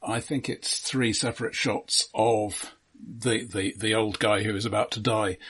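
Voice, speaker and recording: Slightly husky man talking films, thoughtfully. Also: lowish voice, steady tone, unhurried, a bit bright. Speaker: film discussion podcast co-host. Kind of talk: casual analytical film discussion.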